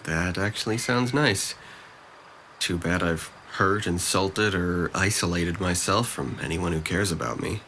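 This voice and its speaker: A man playing a troubled young man in an audio drama, very low in pitch yet bright and tense. He is self-critical and apologetic, and there is some noise behind his voice. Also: measured pace, full character voice. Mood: remorseful, downcast. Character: depressed, self-critical young college-age nephew, apologetic.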